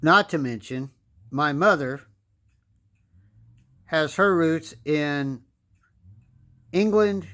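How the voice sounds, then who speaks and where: A man in his fifties with an American accent delivers a pointed political monologue. His voice sits a little higher than most men's. He lectures with emphasis and hammers his points home by repeating them. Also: fairly bright tone, clean tone. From United States. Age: 50s. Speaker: political commentator. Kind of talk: opinion monologue.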